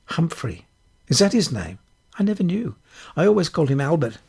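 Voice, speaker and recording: A man voicing a flustered, cranky young woman biker, pitched higher than his own voice. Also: big pitch swings, fairly quick, gravelly, darkish tone, slightly rough, full character voice. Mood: baffled.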